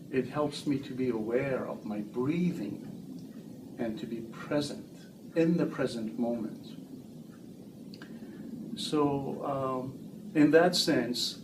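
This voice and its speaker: A man talks very slowly and with care, letting each phrase settle in a calm, meditative way. He sits away from the mic, so his voice sounds roomy, with clear noise behind it. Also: mid-range voice, recorded from a distance. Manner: slow, measured, meditative. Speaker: guest author. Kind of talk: casual interview chat.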